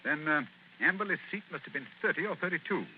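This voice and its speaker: An actor playing a brisk, commanding detective in a 1940s-style radio drama, heard over a tinny old broadcast with faint hiss. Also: male voice, a little high, lively intonation, natural voice. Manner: brisk and clipped.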